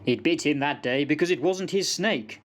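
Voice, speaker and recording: A man's voice: fairly high pitch, expressive, normal speed, close to an everyday voice. A man playing a fatalistic old sergeant-major in a radio play, telling yarns in a folksy, dramatic way. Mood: wry, fatalistic. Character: old soldier sergeant-major, fatalistic yarn-spinning raconteur. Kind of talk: amateur radio play acting.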